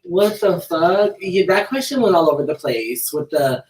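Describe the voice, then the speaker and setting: A woman's voice: fairly low pitch, lively intonation, normal speed, darkish tone. A woman co-hosting a casual group chat, speaking in a slangy, profane, insistent way. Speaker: podcast co-host. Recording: roomy echo, distant mic, background noise.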